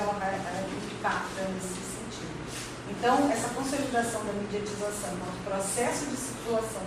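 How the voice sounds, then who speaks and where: A distant, very echoey recording of a Brazilian woman presenting research in an academic talk. Her voice is on the high side, and she speaks in a halting, explanatory way, often restarting phrases and adding little tags for agreement. There is clear noise behind her. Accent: Brazilian Portuguese.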